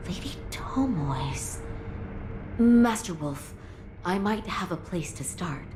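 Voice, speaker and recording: Soft-spoken earnest woman, deep female register, polite, compassionate, slow, video game cutscene. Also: animated, background noise. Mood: earnest, troubled. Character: calm, compassionate adult woman, courteous and troubled. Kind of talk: dramatic game cutscene acting.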